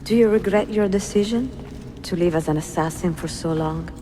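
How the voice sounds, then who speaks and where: An actress playing a cultured, curious, gently teasing traveler in a game cutscene. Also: female voice, on the low side, moderate pace, background noise, natural voice. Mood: concerned. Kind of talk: dramatic game cutscene dialogue.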